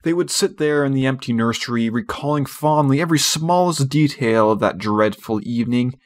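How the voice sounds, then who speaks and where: A man's voice: medium pitch, normal speed. A man reading a classic storybook aloud as an audiobook narrator, in scripted narration.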